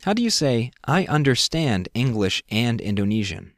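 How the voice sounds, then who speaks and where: A man's voice: mid-range voice, sing-song, normal speed, no echo, clean studio sound. A man hosting a scripted language lesson, explaining step by step and prompting the listener with questions. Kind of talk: scripted instructional narration.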